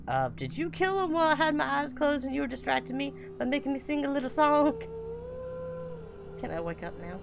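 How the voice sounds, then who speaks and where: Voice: high voice, very animated, brisk, vocal fry. A playful, chatty streamer giving casual game commentary, musing aloud and reading the text out. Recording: game music under the voice. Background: game music.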